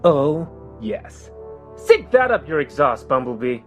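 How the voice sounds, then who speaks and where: Man as a lively, cocky robot captain. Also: on the high side, takes it slowly, soft background music, heavily acted. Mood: pleased, boastful. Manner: breezy, teasing. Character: upbeat, cocky young male robot captain. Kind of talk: motion comic character acting.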